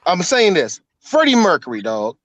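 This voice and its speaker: A man co-hosting a casual podcast over a call, excited and emphatic, swearing freely. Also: high-pitched, moderate pace, dry recording. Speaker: podcast co-host. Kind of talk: casual chat.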